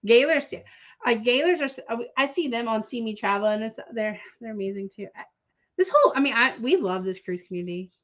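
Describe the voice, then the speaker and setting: A woman's voice: fairly high pitch, expressive, fast. A woman, co-hosting a casual livestream chat and laughing often. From South Texas. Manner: chatty, laughs often, says y'all. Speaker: livestream co-host. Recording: lo-fi stream audio.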